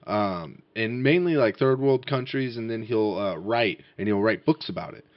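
A young man chatting casually and asking questions, halting often with fillers and restarts. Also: lowish voice, no room sound. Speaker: interviewer. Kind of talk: casual conversation. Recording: dull, dry, muffled sound.

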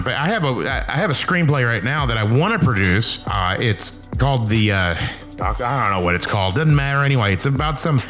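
A man's voice: medium pitch, fairly bright tone. A man giving casual, instructional advice as a screenwriter, rambling and emphatic, with a wry, self-deprecating manner. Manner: self-deprecating, wry, acts out imagined reactions. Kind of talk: casual instructional talk to camera.

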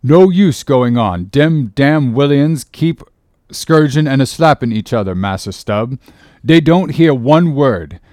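A man's voice: medium pitch, a little mellow, no room sound, clean studio sound, pitched above the performer's own voice, close to an everyday voice. Man acting a grumbling old ship's cook. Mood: weary, grumbling. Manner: slow, drawn-out, testy dialect speech. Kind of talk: audiobook character reading.

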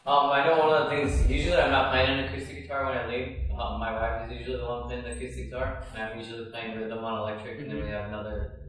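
A man's voice: medium pitch, flat delivery, quick pace, fairly bright tone. A man who plays guitar in a worship band, explaining his setup at length in a workshop discussion. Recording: echoey room, mic far off, faint music.

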